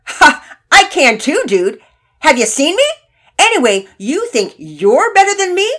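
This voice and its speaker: A woman acts out a boastful boy from a children's story. The voice jumps high and swings freely, with brash, slangy swagger and a defensive edge. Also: very animated, moderate pace. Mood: boastful, defiant. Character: cocky, boastful boy skateboarder, loud and defensive.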